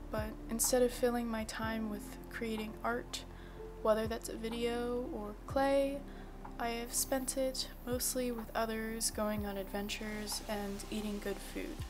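A young woman with a slightly high voice reads a calm, reflective voice-over for her vlog. She speaks softly and evenly, as if reading from a script. Gentle music plays clearly under her narration. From Virginia. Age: young adult. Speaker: vlogger. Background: soft background music.

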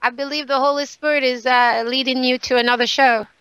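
A woman evangelist co-hosting a radio show, speaking gently and playfully. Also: sits high, fairly even intonation, fairly quick, smooth, no room sound, rough recording. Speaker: evangelist co-host.

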